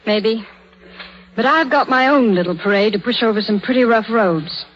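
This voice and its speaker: Earnest, husky young 1930s radio heroine. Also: female voice, on the high side, moderate pace, a bit bright. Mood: troubled, defiant. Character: earnest, troubled, strong-willed young woman in love. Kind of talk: 1930s radio drama acting. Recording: dull, lo-fi 1930s radio recording.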